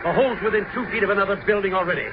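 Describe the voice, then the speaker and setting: A man playing a blustering, excitable skeptic, emphatic and exclamatory, in a 1950s radio drama. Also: high voice, rapid-fire, close to an everyday voice. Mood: panicked. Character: blustering, disbelieving male skeptic, easily panicked. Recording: dull, noisy vintage radio recording.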